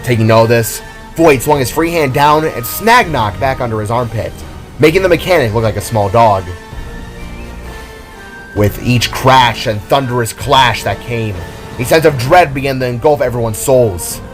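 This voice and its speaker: A man's voice: medium pitch, moderate pace. Male fantasy story narrator over music.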